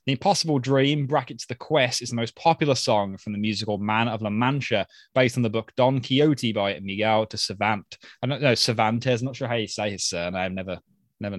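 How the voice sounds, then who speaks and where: A man's voice: medium pitch, dry recording. Male podcast host, mid voice, casual, dry close mic. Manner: easygoing, chatty, with fillers and laughs. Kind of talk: casual chat, reading listener mail.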